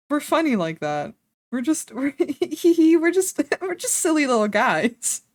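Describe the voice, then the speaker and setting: A woman's voice: high-pitched, big pitch swings, takes it slowly, no echo. A young woman from the Netherlands with a Dutch accent, chatting casually and candidly on a stream, laughing often and swearing freely. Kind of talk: casual stream chat while drawing.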